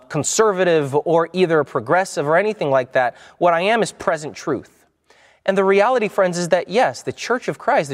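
A man teaching from the Bible in a conversational sermon style, often repeating words and restarting his sentences. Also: on the high side. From United States. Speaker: preacher. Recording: long hall reverb.

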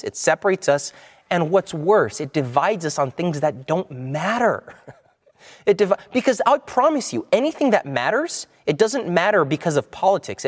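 A man delivers a conversational sermon, speaking in a somewhat raised voice. He restarts his phrases a lot and repeats himself as he explains Scripture. His voice rings with a long reverb, as in a large hall. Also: on the high side. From United States. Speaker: preacher. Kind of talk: sermon-style Bible teaching.

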